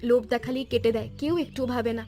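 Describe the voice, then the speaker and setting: Actress as attentive, direct village housewife, cartoon drama: high, quick delivery with faint music. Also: female voice, steady tone, mellow, close to an everyday voice. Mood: concerned, direct. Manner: solicitous, direct. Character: caring, no-nonsense village wife hosting a guest. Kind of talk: cartoon story dialogue.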